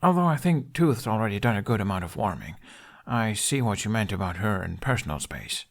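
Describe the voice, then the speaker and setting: Guy reading as a wry soft-spoken young fella in an audiobook story, earnest. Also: lowish voice, expressive, a little fast. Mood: warm, amused.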